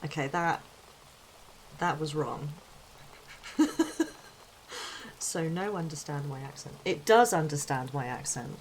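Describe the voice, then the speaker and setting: Low-voiced woman streamer, unhurried, chatty with lots of fillers. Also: lively intonation, a little hiss or hum, rough recording. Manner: chatty, many fillers and restarts, laughs often. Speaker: tarot streamer. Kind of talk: casual live-stream chat.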